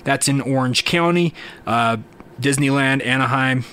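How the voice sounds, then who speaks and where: A man's voice: mid-range voice, a little slow, a bit bright, clean tone. A man hosting a sports podcast solo, talking informally and directly to listeners in conversational commentary.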